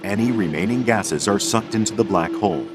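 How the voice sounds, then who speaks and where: Low-voiced male documentary narrator. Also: a little fast, loud music behind the voice.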